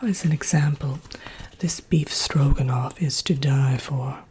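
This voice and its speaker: A woman playing a genteel, drily wicked Evil Queen in a soft, breathy whispered ASMR roleplay, presenting like a workshop teacher. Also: rumbling low, a little slow, heavily acted. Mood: drily wicked, instructive. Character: haughty, wicked fairy-tale Evil Queen teaching recipes.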